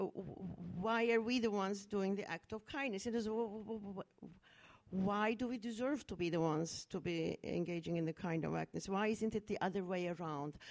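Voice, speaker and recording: A middle-aged woman from Turkey telling a personal story in a public talk, earnest and reflective, with fillers and restarts. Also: fairly low pitch. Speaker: speaker at a talk.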